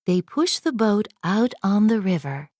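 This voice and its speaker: Female storybook narrator, lively and expressive, unhurried pace, close dry sound. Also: mid-range voice, no room sound. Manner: expressive read-aloud delivery. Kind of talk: scripted children's story narration.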